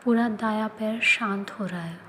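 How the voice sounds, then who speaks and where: A woman leading a guided yoga nidra relaxation, speaking in soft, often whispered instructions. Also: medium pitch, normal speed, noisy background. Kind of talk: guided meditation.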